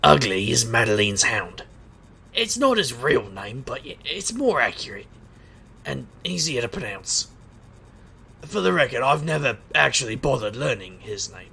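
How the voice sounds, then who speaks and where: An actor playing a wisecracking private eye and street magician, narrating an audio drama in a sardonic, gravelly way. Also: male voice, mid-range voice, very animated, moderate pace, sharp tone, heavily acted. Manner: sardonic, wry. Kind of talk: noir-style first-person narration and dialogue in audio drama.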